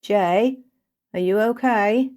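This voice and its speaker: A woman voicing an excitable, easily exasperated young trainee witch in a storybook. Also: mid-range voice, takes it slowly, pitched above the performer's own voice, lightly acted. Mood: alarmed, urgent.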